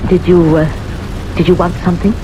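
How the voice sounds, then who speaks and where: A woman's voice: lowish voice, level tone, normal speed, music in the background, lightly acted. An actress playing a timid older woman living alone in an old-time radio drama, hesitant, polite and easily flustered. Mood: startled, wary. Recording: noisy, hissy old radio recording.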